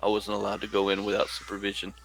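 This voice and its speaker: A man's voice: lowish voice, monotone, fast talker, creaky, fairly bright tone, slight background noise. A man voicing a confused young male medic student who hesitates and stammers, just woken up. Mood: flustered. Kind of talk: in-character roleplay.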